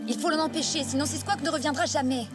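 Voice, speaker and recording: Woman as a high-voiced spirited cartoon girl. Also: fast, over music, natural voice. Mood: worried, urgent. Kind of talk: dubbed animated adventure acting.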